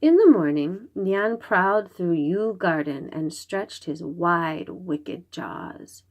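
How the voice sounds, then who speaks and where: A woman reading a children's story aloud, expressive and dramatic, drawing out her words. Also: fairly low pitch, slow pace. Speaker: storybook reader.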